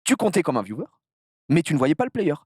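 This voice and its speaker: A man from the south of France chatting casually as an interview guest, telling anecdotes in an animated, slangy way with some crude language. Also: a little high, fast, breathy edge, no room sound, clean studio sound. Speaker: streamer being interviewed.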